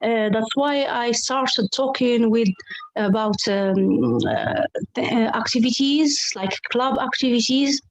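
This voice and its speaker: Woman, English teacher, halting speech, lots of fillers, very slow, dry sound. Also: fairly high pitch, expressive, no room sound, low-quality recording. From Morocco. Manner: hesitant, halting, many fillers and restarts. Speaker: English teacher and club coordinator. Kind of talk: online round table discussion.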